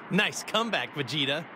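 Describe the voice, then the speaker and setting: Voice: mid-range voice, background noise. An actor playing a cheerful, earnest male martial arts hero with a boyish manner in an anime game. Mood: amused. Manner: bright, boyish, good-natured.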